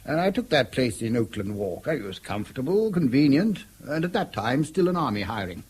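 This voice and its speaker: An actor playing an elderly, courteous retired army colonel with an upper-class English accent and old-fashioned military phrasing in a radio drama. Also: male voice, medium pitch, sing-song, a little fast, a little mellow, husky. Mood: courteous, puzzled. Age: older.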